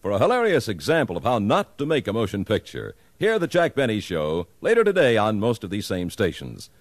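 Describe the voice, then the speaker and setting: A man's voice: fairly low pitch, wildly swooping pitch, a little fast, dry recording. A man with an American accent announcing scripted 1950s radio promotions in a polished, punchy way.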